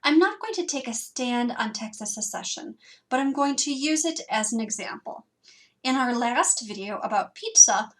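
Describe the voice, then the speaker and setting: A young woman from Wisconsin, speaking with a Wisconsin accent, a librarian giving a scripted lesson in a lively, self-teasing way full of questions. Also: high-pitched. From Wisconsin, USA. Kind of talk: scripted instructional narration.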